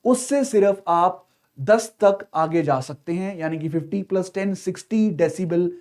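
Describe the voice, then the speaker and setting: This is a man with an Indian accent and a notably high-pitched voice. He lectures like an exam coach, didactic and explanatory. He often sets up a question, answers it himself and checks in with short tags. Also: a little slow, darkish tone. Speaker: civil services exam teacher.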